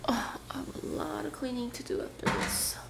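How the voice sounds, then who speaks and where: A woman's voice: on the high side, steady tone, normal speed. A young woman casually chatting and thinking aloud as she fries, then eats, on her mukbang show. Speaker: mukbang host. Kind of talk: casual chat while cooking and eating. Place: small home kitchen room, indoors. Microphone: desk microphone on stand. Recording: echoey room with clear background noise. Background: cooking and dish clatter.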